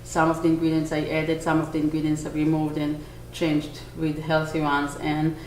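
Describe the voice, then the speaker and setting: A woman in her forties from Israel with an Israeli accent, a bakery owner answering interview questions earnestly, pausing to search for words. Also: deep voice, completely flat delivery, darkish tone. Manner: earnest, with small restarts and searching pauses. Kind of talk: on-camera interview. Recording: echoey room, faint noise.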